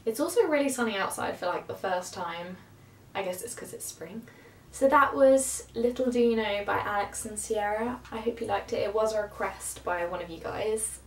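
A woman's voice: medium pitch, normal speed. A young woman with a cold and a slightly nasal voice, chatting casually to camera. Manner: chatty, rambling with many fillers. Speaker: cover singer and vlogger. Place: small bedroom at a keyboard. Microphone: camera across the room. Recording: echoey room, voice from a distance.

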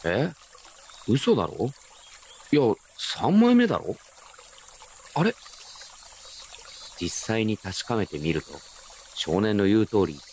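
A man's voice: lowish voice, big pitch swings, slow pace, long pauses, darkish tone, slight background noise, heavily acted. A man playing a wry, nostalgic grown grandson, telling his story casually and reflectively in a radio drama. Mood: bewildered. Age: adult. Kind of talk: first-person radio drama narration and dialogue.

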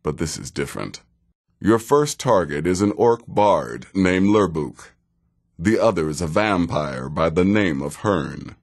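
Very deep, sardonic veteran assassin. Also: male voice, expressive, full character voice. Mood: dry, sardonic. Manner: dry, sarcastic, deliberate delivery. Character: sardonic, world-weary veteran male assassin of a dark guild. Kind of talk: scripted fantasy video game dialogue.

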